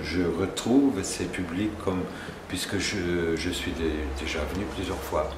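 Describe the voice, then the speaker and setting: A man with a Luxembourgish accent, a native Luxembourgish speaker, introducing himself as a writer in residence in a measured, reflective way. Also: low-pitched. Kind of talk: casual self-introduction. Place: on a river barge. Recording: echoey room with faint ambient noise.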